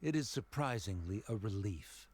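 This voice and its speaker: A man's voice: fairly low pitch, animated, normal speed, darkish tone, breathy edge. A man playing a haughty, learned scholar in a video game, speaking in a pompous, formal manner. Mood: disdainful, relenting. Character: haughty, learned male scholar, grudgingly softened.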